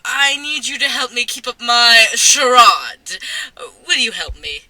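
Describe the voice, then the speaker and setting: A woman's voice: on the high side, sing-song, a little slow, bright, forceful tone, heavily acted, low-quality recording. A woman voicing a spoiled, pompous rich schoolboy who pleads and schemes in a theatrical manner. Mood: pleading.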